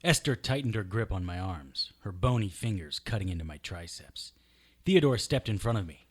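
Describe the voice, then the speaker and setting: A man's voice: low-pitched, expressive, moderate pace, husky. A man playing a sardonic, defiant occult detective in an audio drama, acting and narrating in the first person. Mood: tense, grim. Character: defiant, wisecracking male occult detective hero.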